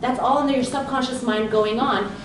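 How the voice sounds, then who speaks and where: A woman who grew up speaking Romanian first gives a lively conference talk as a leadership coach, telling stories and acting out her own inner thoughts. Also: a little high, moderate pace, a crowd in the background. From grew up in Southern California. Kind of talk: live conference presentation. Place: event room with an audience. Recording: very echoey room, voice far from mic, background noise.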